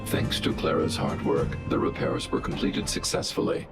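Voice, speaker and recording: An actor voicing a huge, stoic guardian robot that gives flat, logical reports in percentages, his voice processed to sound robotic. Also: moderate pace. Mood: calm analytic. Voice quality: gravelly, flat mechanical delivery. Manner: cold analytic reports in percentages. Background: game music.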